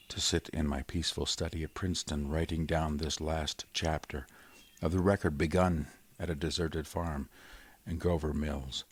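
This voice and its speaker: An actor narrating as a solemn professor in a 1930s radio drama, measured and reflective. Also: male voice, rumbling low, breathy edge, exaggerated voice. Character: grave middle-aged male professor, survivor. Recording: vintage 1930s radio broadcast.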